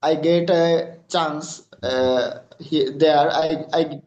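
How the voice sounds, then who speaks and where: Bangladeshi man, Bengali native, guest answering questions; halting English, slow and deliberate, slightly high voice, echoey room. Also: steady tone, a little mellow, lo-fi sound. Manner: halting non-native English with many fillers. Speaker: freelancer guest. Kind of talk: interview answers.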